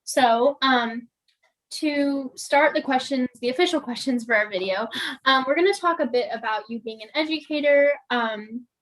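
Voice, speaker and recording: A woman's voice: high voice, moderate pace, low-quality recording. A teenage student interviewing her teacher, friendly and careful as she reads her prepared questions. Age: teens.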